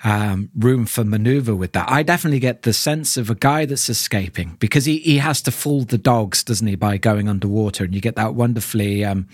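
Low-voiced man in thoughtful discussion, close dry sound. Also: fairly quick, hardly any pauses, no room sound. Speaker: podcast co-host. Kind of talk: casual discussion of an audio drama.

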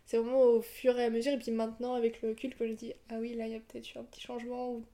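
Higher-voiced young woman, even tone. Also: rapid-fire. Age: young adult. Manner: relaxed, hesitant with fillers. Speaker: podcast co-host. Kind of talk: casual chat.

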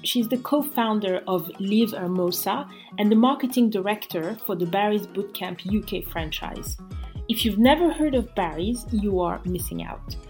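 A woman hosts an interview podcast in a mid-pitched voice, with some music behind her. She speaks in the style of a presenter introducing and questioning guests. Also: expressive, moderate pace, a little mellow.

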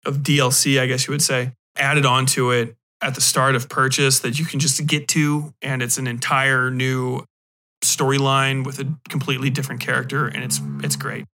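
A man's voice: medium pitch, flat delivery, normal speed, pushed and bright, a little hiss or hum. Rambling man podcasting in a mid-range voice. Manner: rambling, emphatic, profane slang. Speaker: podcast host. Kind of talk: casual unscripted podcast review.

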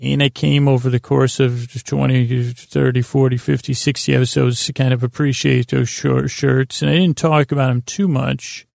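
A man hosting a sleep podcast, rambling in a halting, meandering way with lulling, soothing tones. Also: mid-range voice, level tone, darkish tone, no echo, clean studio sound. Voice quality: creaky, gravelly. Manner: meandering, hesitant, halting, trailing off into tangents. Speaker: podcast host.

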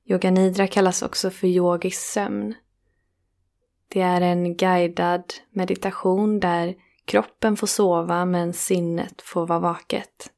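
A woman leading a guided relaxation meditation, speaking slowly and soothingly with long pauses between phrases. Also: fairly low pitch, flat delivery, creaky, darkish tone.

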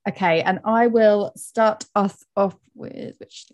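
Woman, book podcast co-host, lively casual chat, mid pitch. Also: slow. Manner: lively, laughs often, summarizes plots.

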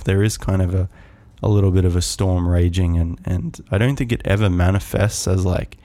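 A man hosting a podcast, musing on his own in a calm, soft voice, rambling with many fillers and restarts. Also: low-pitched, no room sound. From Australia. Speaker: podcast host. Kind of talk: casual solo musing.

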